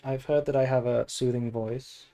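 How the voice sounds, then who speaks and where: A sleepy man chatting casually on a game stream, musing aloud in a low-key way. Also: fairly even intonation, normal speed, dark tone. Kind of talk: casual gameplay stream chat and deck analysis.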